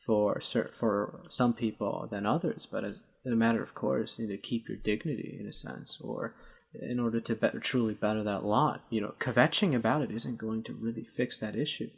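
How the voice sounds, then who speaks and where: A man with a low, gravelly voice talks through an argument as a show co-host. His delivery is husky and halting, with wordy, somewhat formal phrasing in casual debate.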